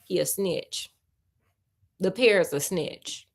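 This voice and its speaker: A woman host reading a story aloud on a livestream, breaking in with playful, colloquial asides and expressive delivery. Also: fairly low pitch, slow, vocal fry.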